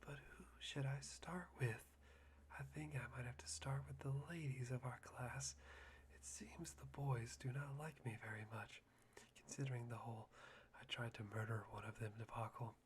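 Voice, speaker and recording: A performer voicing a soft-spoken, self-deprecating young man obsessed with hope, in a breathy whispered ASMR roleplay. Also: medium pitch, normal speed, a little hiss or hum, lightly acted. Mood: wistful, scheming. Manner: breathy whispering with sighs and eerie chuckles.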